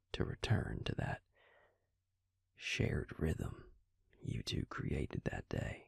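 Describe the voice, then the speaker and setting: A man playing a patient, grave vampire in an intimate audio roleplay, speaking husky, breathy and low, with hesitant, deliberate pauses. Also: a little mellow, dry recording, clean studio sound, heavily acted. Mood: gentle, explaining. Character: male vampire, patient, grave, quietly explaining lore.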